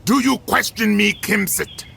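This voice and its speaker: An actor playing a menacing, commanding villain general in a game cutscene, brooding and grandiose as if reciting ominous verse. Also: male voice, high voice, a little slow, vocal fry, sharp tone, breathy edge, heavily acted. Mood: stern, threatening. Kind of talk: dramatic game cutscene acting. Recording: music behind the voice.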